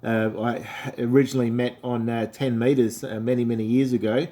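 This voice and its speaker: Aussie bloke on ham radio, mellow voice, laid back, lots of uhs. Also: male voice, medium pitch, flat delivery, a little fast. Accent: Australian. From South Australia. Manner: easygoing, chatty, many fillers. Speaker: amateur radio operator.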